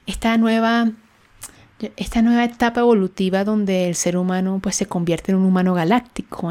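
A woman's voice: medium pitch, a little mellow. A woman hosting a podcast, telling a personal story in a conversational, reflective way, sometimes searching for words. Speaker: podcast host.

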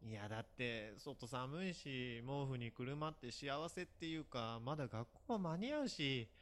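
A man acting a sleepy, lazy, easygoing high school boy in a Japanese voice drama, with a casual, whiny manner. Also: lively intonation, normal speed, fairly bright tone, close to an everyday voice. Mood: sleepy, grumbling. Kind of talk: Japanese voice drama phone-call dialogue.

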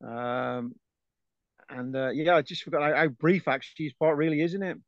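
A chatty man talking films in a relaxed podcast chat, laughing easily and losing his thread in "um"s. His voice sits a little high. It comes through a dull, lo-fi mic with no room sound at all. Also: normal speed, no echo. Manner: chatty, laughs often, trails off with fillers. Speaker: podcast co-host. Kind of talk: casual film discussion.

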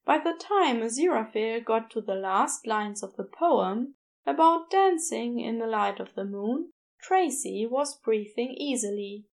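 High-voiced, unhurried woman narrator. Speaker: podfic narrator. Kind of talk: scripted fiction narration.

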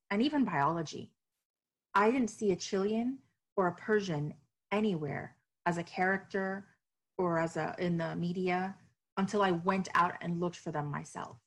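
A woman from Miami, an author and activist, answering interview questions in a reflective way. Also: on the low side. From Miami, United States. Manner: reflective, with some hesitations and restarts.

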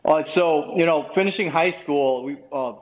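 A man's voice: a little high, moderate pace. A man from Vietnam in his thirties, a podcast guest telling his life story casually, rambling with many restarts and fillers. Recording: roomy, dull lo-fi sound.

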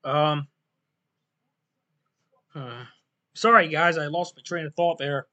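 A man hosting his own podcast in a casual, rambling solo talk, his voice going hoarse and often clearing his throat. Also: fairly high pitch, takes it slowly, sharp tone, muffled recording. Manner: rambling, loose and self-deprecating, many fillers. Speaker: podcast host.

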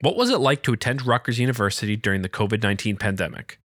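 A man hosting a podcast, reading his introductions from a script in a steady way. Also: fairly low pitch, rapid-fire, slightly rough, no room sound, studio quality. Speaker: podcast host.

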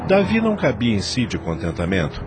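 A man's voice: lowish voice, huge pitch swings, a little fast, loud music behind the voice. A man narrating a radio drama.

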